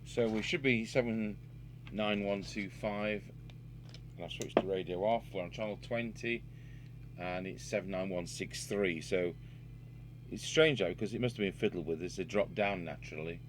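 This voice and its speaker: A man with a fairly low voice talks through a hands-on repair job. There is some background noise and hum behind him. He speaks off the cuff, thinking aloud as he works. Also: normal speed. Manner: unscripted, musing aloud while working. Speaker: radio repair hobbyist and video presenter. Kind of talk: casual hands-on repair demonstration.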